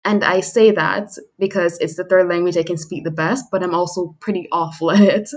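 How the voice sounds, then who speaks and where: A woman's voice: on the low side, steady tone, brisk. A young adult woman chatting casually and self-deprecatingly, as a livestream host introducing herself. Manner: self-deprecating, chuckles while talking. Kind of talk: casual chat monologue.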